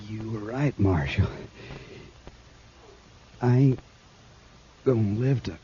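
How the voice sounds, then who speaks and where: An actor playing a dying, defiant frontier outlaw with an American accent and weak, laboured speech in a 1950s radio western. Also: male voice, lowish voice, takes it slowly, warm tone. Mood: dying, resigned. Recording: dull, hissy 1950s radio recording.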